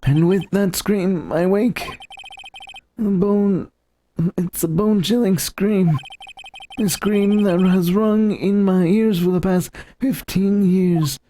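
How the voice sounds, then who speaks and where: Game role: tormented male lawyer, mellow, deliberate, halting and dramatic. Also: high-pitched, fairly even intonation, a little hiss or hum, rough recording. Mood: haunted, grave. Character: haunted, anguished male lawyer reliving a childhood trauma. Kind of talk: dramatized video game dialogue.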